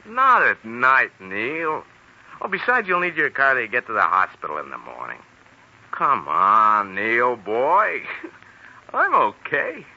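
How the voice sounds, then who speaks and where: A man's voice: mid-range voice, wildly swooping pitch, slow, pushed and bright, highs cut off, heavily acted. An actor playing a cocky, breezily persuasive man, someone's best pal, in a 1940s radio drama. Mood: breezy, insistent. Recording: thin 1940s radio recording.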